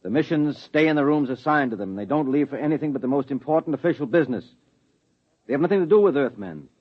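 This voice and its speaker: A man's voice: mid-range voice, lively intonation, fast talker, natural voice. An actor playing a grudging, sarcastic policeman husband in 1950s radio drama style. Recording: dull 1950s radio broadcast.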